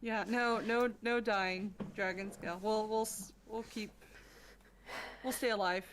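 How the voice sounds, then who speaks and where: A woman's voice: medium pitch, fairly even intonation, measured pace, slight background noise. A woman chatting casually as a podcast guest, with a playful, teasing manner. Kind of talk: casual livestream chat.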